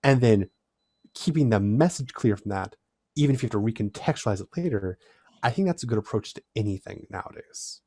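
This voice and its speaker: A man's voice: on the low side, animated, a little fast, dark tone, breathy edge, no echo. Man explaining thoughtfully, dry and close. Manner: reflective, lecturing, with shifting emphasis. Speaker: podcast guest.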